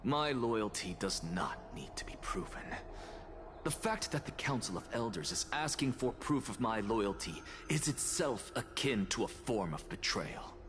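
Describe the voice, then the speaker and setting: A man voicing a haughty heretic scholar in a video game, speaking in an eloquent, measured, condescending way. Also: medium pitch, lively intonation, fairly bright tone, soft background music. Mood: sardonic. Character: haughty male heretic scholar and academy leader.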